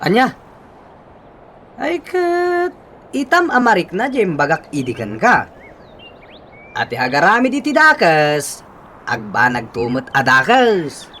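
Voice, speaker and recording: A man's voice: high-pitched, big pitch swings, slow pace, pauses often, sharp tone, full character voice. A man playing a moralizing friend. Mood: advising. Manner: advising, moralizing. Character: friend giving moral advice. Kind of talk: radio drama acting. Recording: faint background noise.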